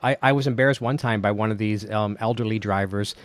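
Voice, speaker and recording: A man's voice: lowish voice, fast talker, dry recording. A middle-aged Canadian man hosting a casual podcast interview, chatty and easy-laughing, telling anecdotes. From Ontario, Canada. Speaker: podcast host.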